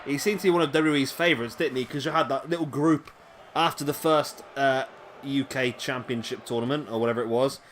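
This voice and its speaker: A man giving casual play-by-play commentary on a wrestling video game, chatty with rambling asides to viewers, his voice going a little hoarse. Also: medium pitch, fairly quick, background noise. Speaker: gaming commentator. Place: home room, late at night.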